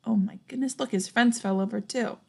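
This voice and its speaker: A young adult woman reading a children's picture book aloud expressively, pausing to put questions and comments to young listeners. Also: on the high side, creaky, dark tone, husky. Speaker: storybook reader, teacher.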